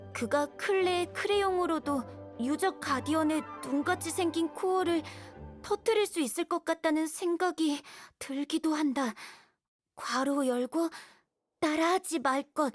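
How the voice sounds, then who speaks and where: High-pitched, earnest young boy scout. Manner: polite, hesitant, earnest. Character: young, earnest, timid boy surveyor of a knight expedition. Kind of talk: scripted game dialogue, reading a letter aloud. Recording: music behind the voice. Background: music.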